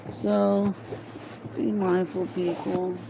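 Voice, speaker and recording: A woman reading a novel aloud informally, halting and stumbling over words, with muttered casual asides to herself. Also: fairly low pitch, level tone, vocal fry, background noise. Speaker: amateur reader of a novel aloud.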